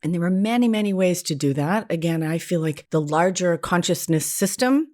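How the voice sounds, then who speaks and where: A woman's voice: fairly low pitch, lively intonation, normal speed, darkish tone. An American woman hosting a solo podcast in a conversational, candid and self-deprecating way, with informal wording. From New England, United States. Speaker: podcast host, therapist and spiritual teacher.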